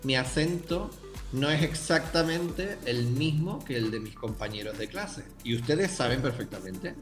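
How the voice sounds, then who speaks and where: A man's voice: mid-range voice, expressive, unhurried, roomy sound, over music. A young man with a Canarian accent, a writer thoughtfully answering interview questions and speaking directly to the listeners. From Canary Islands, Tenerife. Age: young adult.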